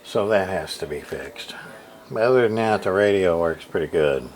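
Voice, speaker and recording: A man's voice: low-pitched, animated, normal speed, a little mellow, a little hiss or hum. A tired man casually showing off an old radio at his home workshop desk, thinking aloud with many fillers and restarts. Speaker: hobbyist radio repairer. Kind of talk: casual workbench show-and-tell.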